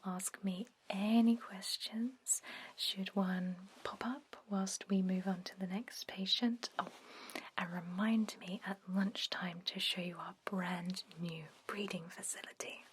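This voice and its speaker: A woman playing a chatty, bossy vampire lab worker who trains a newcomer, speaking in a soft whisper as ASMR roleplay. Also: medium pitch, slight background noise, close to an everyday voice. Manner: softly spoken, instructive, conversational.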